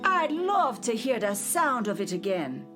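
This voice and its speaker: A woman playing a gentle elderly widow in a nursing home in a radio play, with homespun talk. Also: fairly high pitch, big pitch swings, measured pace. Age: older. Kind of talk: radio drama acting. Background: music.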